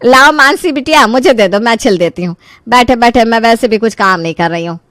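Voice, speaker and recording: A woman voicing a sly, mock-innocent middle-aged mother-in-law in an animated family story, asking pointed questions. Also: fairly high pitch, a little fast, no room sound, clean studio sound. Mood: feigned innocence. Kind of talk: Hindi animated story dialogue.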